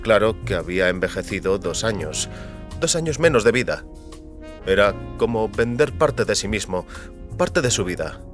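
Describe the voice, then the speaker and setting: A man's voice: lowish voice, big pitch swings, moderate pace, soft background music. A man narrating scripted audio fiction as a storyteller.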